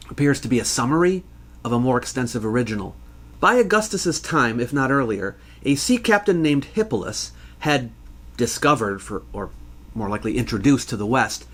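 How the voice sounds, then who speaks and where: A man hosting an educational program, reading scripted narration in a measured, scholarly way. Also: mid-range voice. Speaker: history educator and host.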